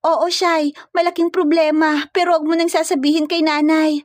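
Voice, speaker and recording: A woman's voice: high-pitched, flat delivery, normal speed, dry recording, clean studio sound, pitched above the performer's own voice, lightly acted. A woman voicing a frightened, flustered young provincial maid in a story, with a dramatic, whiny, stammering delivery. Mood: worried.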